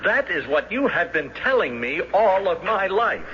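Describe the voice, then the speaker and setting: High-voiced, meek banker, radio drama. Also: male voice, sing-song, normal speed, sharp tone, exaggerated voice. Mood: apologetic. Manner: earnest, hesitant, flustered. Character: mild, soft-hearted banker, meek younger brother. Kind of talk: old-time radio drama acting. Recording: dull, noisy old radio broadcast.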